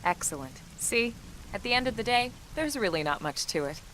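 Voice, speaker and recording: An actress playing a composed, brisk military officer who instructs with measured authority in a video game. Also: female voice, medium pitch, animated, a bit bright, a little hiss or hum, natural voice, rough recording. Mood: calm, businesslike. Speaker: squad leader and trainer. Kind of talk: scripted video game dialogue.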